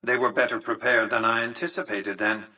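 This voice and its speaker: An actor playing an imperious, calculating tycoon in a video game, speaking formally with pompous condescension. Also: male voice, mid-range voice, fairly even intonation, brisk, bright, forceful tone, recorded from a distance, low-quality recording. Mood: businesslike. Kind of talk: scripted game character dialogue.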